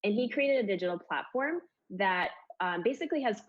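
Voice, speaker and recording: A woman's voice: mid-range voice, expressive, fairly quick, no room sound. A woman from Rwanda giving enthusiastic, informal interview answers about her charity work, on lo-fi audio. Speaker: charity communications manager, interview guest.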